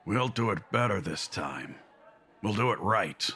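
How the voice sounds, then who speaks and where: A man voicing an earnest, quietly determined older newspaperman in a comic dub, husky and measured. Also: lowish voice, expressive, exaggerated voice.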